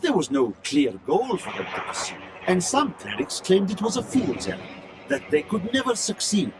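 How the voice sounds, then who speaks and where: A learned chronicler from a fantasy game narrates his journal with theatrical gravity. His fairly high-set voice rises and falls in dramatic sweeps, deliberate and intrigued, with music playing beneath. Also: huge pitch swings. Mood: grave, intrigued. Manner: theatrical, deliberate, storytelling delivery.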